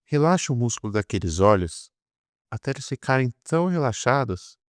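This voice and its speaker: A man with a Brazilian Portuguese accent leading a guided meditation, soothing and repetitive, with pauses between drawn-out phrases. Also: mid-range voice, sing-song, no echo, clean studio sound. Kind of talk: guided meditation, hypnotic induction.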